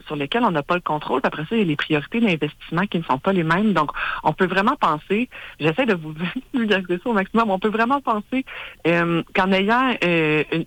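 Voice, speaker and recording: A woman's voice: on the low side, no room sound, low-quality recording. Earnest Québécoise woman, hesitant radio guest. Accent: Québécois French. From Quebec. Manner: earnest and explanatory, many hesitations and restarts. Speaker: guest, head of an independence organization. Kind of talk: live radio interview.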